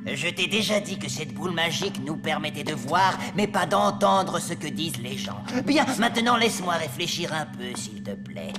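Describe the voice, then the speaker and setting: An actor playing a pompous, scheming evil sorcerer in a cartoon, grand and boastful in manner. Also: male voice, on the high side, sing-song, normal speed, few pauses, long reverb tail, loud music behind the voice. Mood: irritated.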